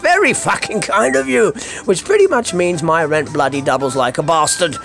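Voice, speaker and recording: A man's voice: fairly high pitch, animated, normal speed, gravelly, fairly bright tone. A Scottish man hosting a comic monologue, speaking in a theatrical, foul-mouthed way full of colourful slang. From Scotland. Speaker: podcast host. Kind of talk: comic monologue rant to listeners. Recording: music under the voice. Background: music.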